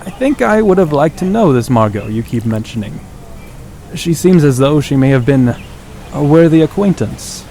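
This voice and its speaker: An actor playing a proud, commanding dragon lord in an audio drama, speaking in formal, stately phrases. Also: male voice, fairly high pitch, lively intonation, moderate pace, dark tone. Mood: wry. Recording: clearly noisy background.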